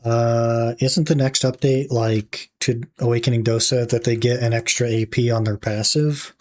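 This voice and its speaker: A young man streaming a game, his voice gone a little raspy from talking. He muses aloud to his chat in a relaxed way while he plays. Keyboard typing can be heard behind him. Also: medium pitch, normal speed. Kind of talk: casual gaming livestream chat.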